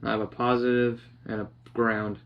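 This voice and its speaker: A young man casually narrating a hands-on project, half talking to himself in a halting mutter as he works in a living room. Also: medium pitch, flat delivery. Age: young adult. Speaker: DIY vlogger. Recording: dull lo-fi sound, clear background noise.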